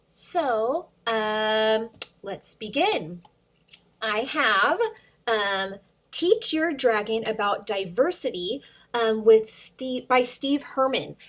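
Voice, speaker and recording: Slow-paced, higher-voiced woman reading to children. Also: expressive, a bit bright. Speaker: children's story time reader and teacher. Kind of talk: informal talk and storybook read-aloud for children.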